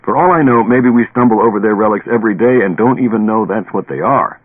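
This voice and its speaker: An actor playing a stubborn, haunted, tipsy professor who lectures deliberately and dramatically in a radio play. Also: male voice, fairly low pitch, narrow, tinny audio, natural voice. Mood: insistent. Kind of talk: old radio drama acting. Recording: band-limited old radio recording, echoey.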